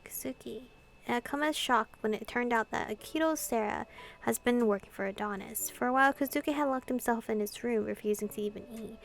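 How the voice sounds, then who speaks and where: A woman reading visual novel dialogue and narration aloud in a casual, expressive way. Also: a little high, brisk, creaky. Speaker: playthrough host reading translated dialogue.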